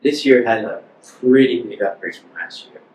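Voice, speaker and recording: A teenage boy, a student musician, answering interview questions in a relaxed, hesitant way with lots of fillers. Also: medium pitch, moderate pace. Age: teens. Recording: roomy sound from a distance with noise.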